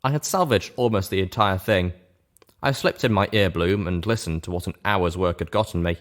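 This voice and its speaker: A man's voice: low-pitched, brisk. A man reading a first-person story aloud as scripted narration. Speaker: narrator, reader of fan fiction.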